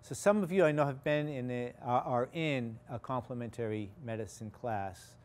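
A man's voice: mid-range voice, moderate pace, a little mellow. An older man with a Boston accent, giving a casual guest lecture in a large hall and telling his story in a rambling way, full of restarts and fillers. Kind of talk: informal campus lecture.

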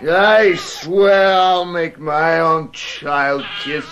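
Bitter household tyrant, highish voice, slow delivery, sneering, theatrical radio drama. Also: male voice, a bit bright, lo-fi sound, a little hiss or hum. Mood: drunken fury. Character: tyrannical, mocking master of the house, hard-drinking, bitter. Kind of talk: classic radio drama acting.

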